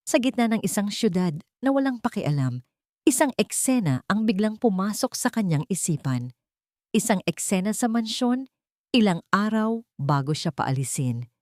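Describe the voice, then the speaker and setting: A woman narrating a dramatic scripted audio story in deliberate, suspenseful phrases. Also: on the low side, darkish tone, no room sound, studio quality.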